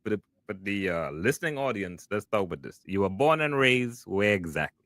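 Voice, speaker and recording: A man hosts a podcast with a low, bright and forceful voice. He sounds eager and talks in casual bursts. The sound is dry and close, with little room echo. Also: big pitch swings, normal speed. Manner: enthusiastic, repeats words like "wow" and "okay". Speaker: podcast host. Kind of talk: casual interview chat.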